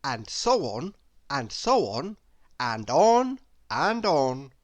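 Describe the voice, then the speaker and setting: A woman narrates a children's story in a low voice. Her pitch swings very widely, and she stretches repeated words for deliberate emphasis. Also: very animated. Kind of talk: expressive children's read-aloud narration.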